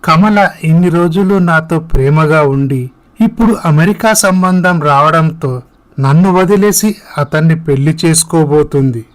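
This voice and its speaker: Guy playing a sad young man in a moral story, highish voice, earnest and hurt. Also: a little slow, close to an everyday voice, low-quality recording. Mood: heartbroken. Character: heartbroken young man confiding in his parents. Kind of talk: dramatized moral story dialogue.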